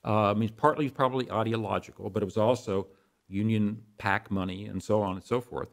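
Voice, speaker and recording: A man's voice: on the low side, fairly quick, vocal fry. An older man speaking as an expert guest in an interview, explaining in a conversational way and repeating phrases for emphasis.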